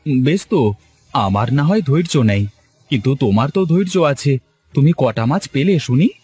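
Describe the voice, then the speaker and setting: Cartoon role: male actor as luckless, grumbling fisherman husband, medium-pitched, self-pitying. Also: sing-song, moderate pace, darkish tone, lightly acted. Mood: defensive. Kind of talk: Bengali cartoon story voice acting.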